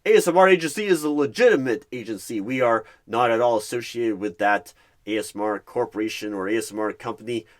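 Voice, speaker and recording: A man's voice: medium pitch, fast talker, natural voice. A man playing a nervous, defensive corporate recruiter in an interview roleplay, talking in emphatic, stilted office language with stumbles.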